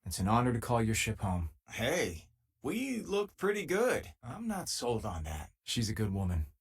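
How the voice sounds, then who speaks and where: A man playing a loyal, easygoing former miner and spaceship crewmate in a video game, with a husky, casual, wry delivery. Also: medium pitch, wildly swooping pitch, normal speed, full character voice. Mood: friendly, reflective. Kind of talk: video game companion dialogue.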